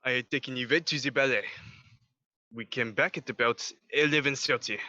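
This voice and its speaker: A man's voice: medium pitch, steady tone, a little fast, pushed and bright, no echo. A man playing a French duke formally giving his account as a witness in an amateur radio play. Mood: earnest recounting. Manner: formal, recounting events. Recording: slightly dull, lo-fi sound.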